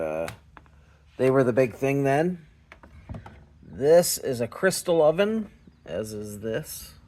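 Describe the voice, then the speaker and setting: A man casually showing and explaining things as a video host, in a halting, unsure way. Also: medium pitch, darkish tone. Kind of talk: casual show-and-tell explanation.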